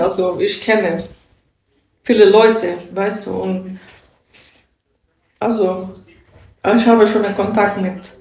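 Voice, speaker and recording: A young woman with a Ukrainian accent talks slowly and haltingly into a phone held at arm's length. She chats in a loose livestream rant, often checking in with the listener. Her voice carries a roomy echo from the indoor space. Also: mid-range voice, a little mellow. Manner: halting broken German, often asks "weißt du?". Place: indoor room with a sofa.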